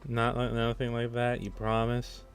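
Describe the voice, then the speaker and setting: A man chatting casually on a live work stream, animated and self-deprecating, talking to himself as he works. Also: mid-range voice, normal speed, soft background music. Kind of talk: casual work stream chat while video editing.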